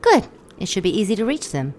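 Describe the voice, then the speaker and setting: A woman's voice: medium pitch, sing-song, a little fast, darkish tone, slight background noise, close to an everyday voice. An actress playing a scheming female demon, sly and flirtatious in an audio drama. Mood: plotting.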